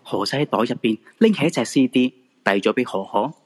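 A man's voice: medium pitch, lively intonation, brisk, husky. A man with a Hong Kong Cantonese accent narrating a scripted ghost-story radio drama. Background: background music.

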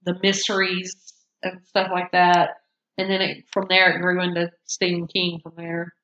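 A woman's voice: lowish voice, completely flat delivery, moderate pace, fairly bright tone. Congested woman, podcast co-host, casual rambling chat, echoey and a bit muffled. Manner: rambling, self-interrupting, laughs often.

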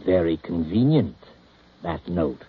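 A wry narrator of an old radio drama. His voice is low and husky, with a knowing storyteller delivery. The recording is muffled, with faint music behind. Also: animated, slow, exaggerated voice.

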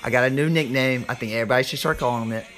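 A man with a Southern US accent and a slightly hoarse voice, hosting an informal sports podcast like a hyped showman, stretching words and repeating phrases. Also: mid-range voice, very fast, a bit bright, soft background music. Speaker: podcast host.

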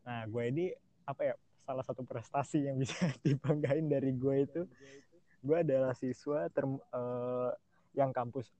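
A man's voice: medium pitch, fairly even intonation, moderate pace, dark tone, no room sound. A teenage man with a Jakarta Indonesian accent chatting casually as a podcast guest, slangy and self-deprecating. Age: teens. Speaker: podcast guest, music student. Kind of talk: casual chat. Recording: dull, muffled lo-fi audio.

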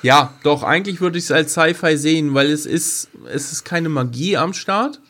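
A man's voice: fairly high pitch, moderate pace, fairly bright tone. A middle-aged man co-hosting a podcast in casual, unscripted conversation, explaining things and checking for agreement. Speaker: podcast co-host.

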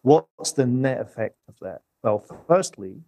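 A man's voice: mid-range voice, animated, measured pace, creaky, mellow. A man speaking as an economist guest, explaining things conversationally in a structured, colourful way. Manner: structured, uses colourful imagery.

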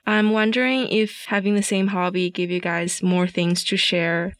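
A woman's voice: lowish voice, little pitch movement, moderate pace. A young woman chatting casually as a podcast interviewer. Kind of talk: casual chat.

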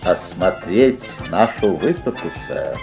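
An actor playing a polite, insinuating, mysterious magic-shop salesman in a radio play, with deliberate, unctuous courtesy. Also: low-pitched, animated, a little mellow, heavily acted. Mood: smooth, inviting. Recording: 1970s audio play, music behind.

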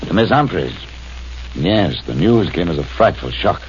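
Super deep-voiced smooth gangster boss, fake polite, old dull radio sound. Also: male voice, very animated, unhurried, slight background noise. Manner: oily, falsely polite. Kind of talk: 1940s radio acting.

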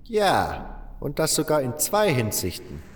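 A man's voice: mid-range voice, wildly swooping pitch, measured pace, big-room sound, soft background music, voice pushed up. A man voicing an old, weathered, resigned miner with a fatherly, plain-spoken manner in an audiobook. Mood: wry, disdainful. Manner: seasoned, plain-spoken storyteller, addresses listener as a youngster. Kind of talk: audiobook character voice.

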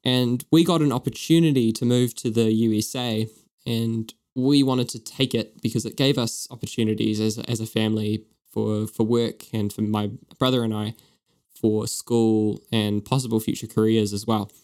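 A man's voice: fairly low pitch, steady tone, moderate pace, darkish tone. A young man from New Zealand with a New Zealand accent, co-hosting a podcast in a chatty, upbeat, joking way. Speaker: podcast co-host. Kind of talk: casual chat.

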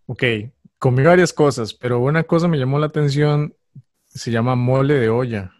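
A man's voice: mid-range voice, normal speed, a little mellow, no echo, studio quality. A man with a Costa Rican Spanish accent, a podcast guest chatting in a friendly, playful way. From Costa Rica. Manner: friendly, playful, laughs easily. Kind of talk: casual chat.

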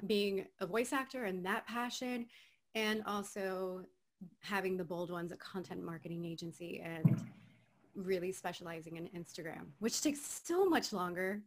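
A woman from Miami, Florida, a voice actor who is a guest on an interview podcast, talking in an upbeat way and laughing often. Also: mid-range voice. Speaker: guest, voice actor and agency owner. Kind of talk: conversational interview.

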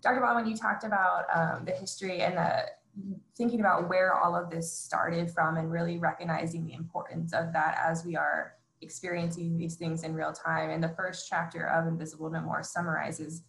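Flat, gravelly-voiced woman host in an echoey room. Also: on the low side, moderate pace. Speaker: interview host. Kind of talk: reading prepared questions. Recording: roomy echo.

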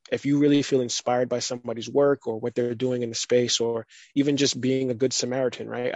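A man in a casual podcast conversation, talking in a relaxed, rambling way with lots of fillers. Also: medium pitch, no echo, muffled recording. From United States. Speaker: guest.

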